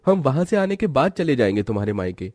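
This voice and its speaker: A man's voice: mid-range voice, very animated, fast, a little mellow, dry recording, studio quality, pitched above the performer's own voice. A man voicing an earnest adult son and husband who explains things in a family story. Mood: firm.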